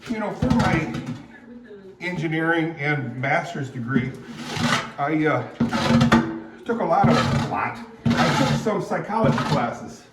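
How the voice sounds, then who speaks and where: A man in his seventies, born in Indonesia, talking in a rambling, halting way, full of fillers and frequent throat clearing. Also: a little high, expressive, loud music behind the voice, poor audio. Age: 70s. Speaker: vlogger. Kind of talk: rambling casual monologue.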